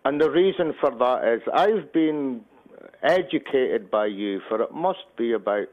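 A man calling in to a radio show, speaking in a slow, unhurried, friendly way. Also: mid-range voice, animated. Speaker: radio caller. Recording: muffled phone line.

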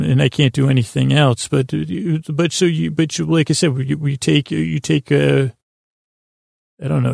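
Very creaky male podcast host, rambling. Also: mid-range voice, a little mellow, dry recording, studio quality. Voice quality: creaky, gravelly. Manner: meandering, halting, stammering and repeating himself; lulling, soothing. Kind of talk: rambling bedtime sleep-podcast monologue.

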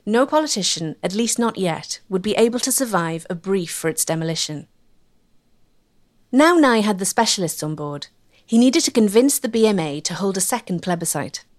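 A woman giving measured, scripted narration for a history documentary. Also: mid-range voice, lively intonation. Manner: measured, articulate.

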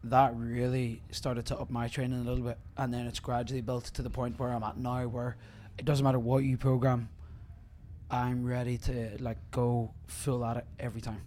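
A man and coach chatting on a podcast, hoarse from losing his voice, laughing at himself. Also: mid-range voice, little pitch movement, normal speed, dark tone, a little hiss or hum. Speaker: coach and co-host. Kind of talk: casual podcast chat.

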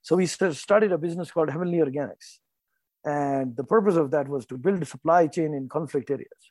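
Indian man, 40s, interview guest; higher voice, dry mic, meandering and self-correcting. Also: fairly quick, darkish tone, no room sound. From North India. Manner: rambling, self-correcting, explanatory. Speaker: guest, farmer and entrepreneur. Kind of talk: casual interview talk.

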